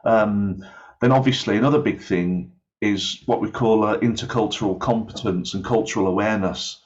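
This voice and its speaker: A man's voice: on the low side, moderate pace, dull audio. A man explaining his points through examples in an online discussion about his field, with a hesitant, searching delivery. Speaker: aviation English course developer.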